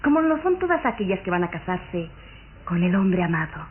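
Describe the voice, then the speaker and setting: Gushing, muffled young fiancée. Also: female voice, on the low side, sing-song, normal speed, a little hiss or hum. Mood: blissful, gushing. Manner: gushing, overly polite. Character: elegant young bride-to-be under a spell, effusively sweet. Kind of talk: old Latin American radio drama acting. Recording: muffled old radio recording with music.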